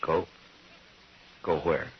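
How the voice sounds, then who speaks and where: An actor playing a stoic, fair-minded frontier marshal in a 1950s radio western, speaking in a terse, grave, measured way. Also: male voice, dull audio, a little hiss or hum. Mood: firm, calm. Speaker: lead and narrator.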